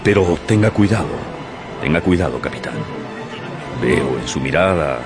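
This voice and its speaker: An actor playing a haunted, superstitious whaling captain in a radio drama, speaking with dramatic emphasis. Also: male voice, a bass voice, lively intonation, moderate pace. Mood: warning. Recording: radio drama with music behind.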